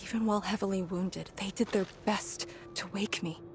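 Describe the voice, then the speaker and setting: A woman voicing a solemn, wry young warrior in a video game, measured and reflective, often dropping to a breathy near-whisper. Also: mid-range voice, fairly even intonation, music in the background, natural voice. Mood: somber, reflective.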